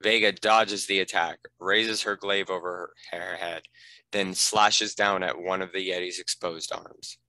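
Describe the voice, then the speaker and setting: A man's voice: deep voice, fairly even intonation, measured pace, sharp tone, no room sound, low-quality recording. A man reading stage directions as narrator, in a scripted narration style.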